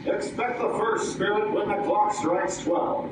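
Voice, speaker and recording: A sardonic ghost acted by a man scolds in a high-pitched voice. He wisecracks grumpily in old-time radio comedy style, heard from far off in an echoing, noisy hall. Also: a little slow. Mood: exasperated. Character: wisecracking, grumpy male ghost of a dead business partner. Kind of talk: comic old-time radio acting before a live audience. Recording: echoey, noisy live stage from far off.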